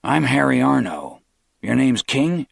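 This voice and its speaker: Male narrator as a dry, cautious prisoner; plain, husky delivery. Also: medium pitch, very animated, a little slow, dry recording, voice pushed up, full character voice. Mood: wary. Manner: wary, matter-of-fact.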